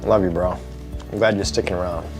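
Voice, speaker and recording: Slightly deep bantering brother. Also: male voice, steady tone, brisk, music in the background. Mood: affectionate banter. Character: supportive, bantering brother, affectionate under teasing. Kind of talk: acted radio advertisement dialogue.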